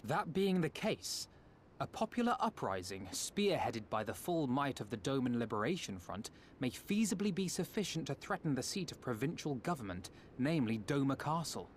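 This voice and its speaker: A man playing a measured, scholarly strategist in a fantasy video game, speaking in formal, archaic and articulate phrasing. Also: mid-range voice, sing-song, a little hiss or hum, natural voice. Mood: measured, wry. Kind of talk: video game dramatic acting.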